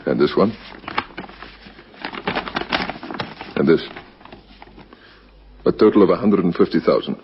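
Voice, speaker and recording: Male actor as radio-drama police captain: deep, brisk, husky, formally probing. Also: noisy background, natural voice. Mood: businesslike, probing. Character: calm, relentless male police captain detective. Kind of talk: old radio crime drama acting. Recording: muffled vintage radio recording.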